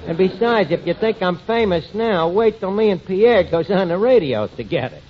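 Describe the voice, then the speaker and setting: A man's voice: high-pitched, animated, normal speed, a crowd in the background. An actor playing a glib, scheming, self-important tavern manager who mangles his grammar, in 1940s radio comedy style. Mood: sardonic. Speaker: star of a radio situation comedy. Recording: noisy muffled 1940s radio recording.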